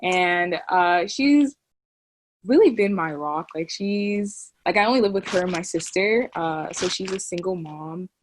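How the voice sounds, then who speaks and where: A woman's voice: fairly low pitch, animated, measured pace, a little hiss or hum. A young woman, a college student on a panel, telling a heartfelt personal story with many "like" fillers. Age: young adult.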